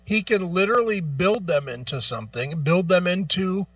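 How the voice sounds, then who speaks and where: Male talk host, high-voiced and argumentative, blunt swearing, emphatic rant delivery, recorded dry and a little off the mic. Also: animated, moderate pace, fairly bright tone, no echo, distant mic, lo-fi sound. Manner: repeats phrases for emphasis. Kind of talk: opinionated music talk, ranting.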